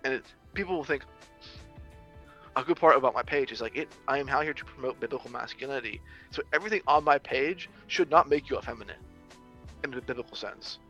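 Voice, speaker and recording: A young adult man talks casually, circling back and restarting his sentences as he works through a point. His voice is bright and forceful, and faint music sits in the background. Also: medium pitch, fast, dull audio. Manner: rambling with many restarts and fillers. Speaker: social media creator on biblical masculinity. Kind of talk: casual conversation.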